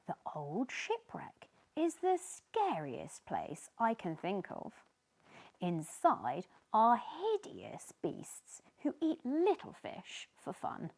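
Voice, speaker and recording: A woman's voice: medium pitch, huge pitch swings, slow, a little mellow, pitched above the performer's own voice, heavily acted. A woman voicing a timid, sweet and earnest little fish in a children's storybook. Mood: fearful.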